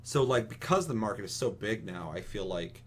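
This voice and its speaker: A man's voice: lowish voice, expressive, brisk. A man chatting casually as a podcast host, giving opinions in an emphatic, rambling way full of profanity. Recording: clearly audible hum and noise.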